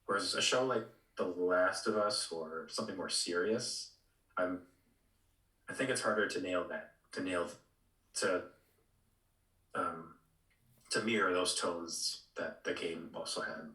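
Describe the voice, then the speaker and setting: A man chatting casually on a podcast, in a halting way full of fillers and restarts. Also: low voice, pushed and bright, echoey room, rough recording. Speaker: podcast panelist.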